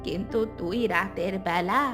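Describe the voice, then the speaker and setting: A woman's voice: lowish voice, moderate pace, fairly bright tone, faint music behind, close to an everyday voice. A woman playing a worried, fearful village mother in a cartoon, pleading anxiously. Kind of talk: Bengali cartoon voice acting.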